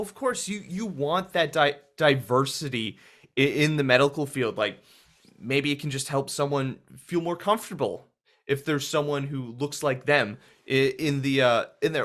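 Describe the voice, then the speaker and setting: A man hosts a relaxed interview with a medium-pitched voice. He sounds hesitant, with frequent pauses and restarts as he works out his questions. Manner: hesitant, many fillers and restarts. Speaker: interview host. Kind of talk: casual interview conversation.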